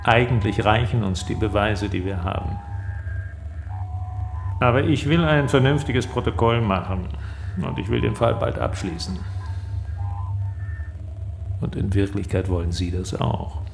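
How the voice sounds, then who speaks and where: An actor playing a smug East German interrogator in a radio crime drama, speaking in a measured, patronizing, quietly threatening way. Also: male voice, lowish voice, vocal fry, soft background music. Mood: patronizing, menacing. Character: smug, coolly menacing male East German secret police interrogator.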